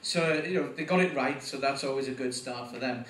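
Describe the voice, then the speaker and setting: A man's voice: fairly quick, distant mic. Welsh man doing stand-up, echoey room. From Wales. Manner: animated, voice jumps up in pitch at punchlines. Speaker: stand-up comedian. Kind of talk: stand-up comedy set. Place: comedy club stage.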